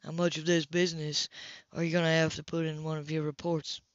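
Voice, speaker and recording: A woman's voice: sits low, flat delivery, a little fast, no room sound, pitched below the performer's own voice, close to an everyday voice. Woman voicing a blunt, hot-headed man, muffled recording. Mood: irritated, defensive. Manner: blunt, impatient, sweary. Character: hot-headed male racer, gruff, defensive, earnest lover.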